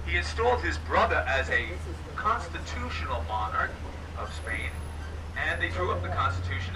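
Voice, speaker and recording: A hoarse, raspy man narrates a city trolley tour, his pitch swinging up and down as he jokes with the riders. He sits fairly high for a man and sounds far from the mic. The rumble of the vehicle and the street traffic stays loud behind him. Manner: chatty, joking, addresses riders as folks. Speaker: trolley tour guide and driver. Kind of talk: live guided tour commentary over a PA. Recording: distant voice over loud vehicle noise. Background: trolley engine and street noise.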